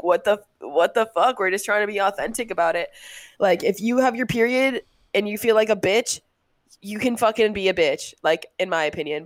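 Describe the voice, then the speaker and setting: A woman's voice: on the low side, no room sound. A young woman co-hosting a podcast in casual chat, blunt and swearing freely as she tells stories. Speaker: podcast co-host.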